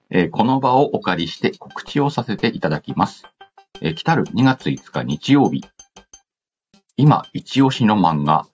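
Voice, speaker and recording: A man with a deep voice hosts a casual show, reading listener mail aloud. His pitch moves around in a lively way as he drops in playful asides. The sound is a little dull, with music playing underneath. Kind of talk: casual chatty radio-style talk. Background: background music.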